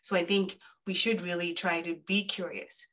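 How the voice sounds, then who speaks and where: A woman's voice: lowish voice, flat delivery, normal speed, fairly bright tone. A woman from Zimbabwe speaking on a webinar panel, earnest and encouraging in an easy conversational way. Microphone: video call.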